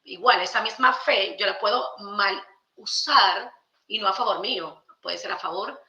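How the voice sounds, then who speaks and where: A woman with a Dominican accent speaks in a bright, forceful voice. She explains ideas conversationally and emphatically, like a guest on a podcast. The sound is roomy and a little muffled. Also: medium pitch, unhurried. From Dominican Republic. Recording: roomy echo, slightly dull sound.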